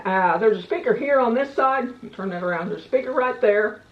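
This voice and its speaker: A woman's voice: fairly high pitch, a little fast. Folksy lady doing a casual product review, kinda rambly, echoey room, slightly muffled audio. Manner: plain-spoken, folksy, meandering.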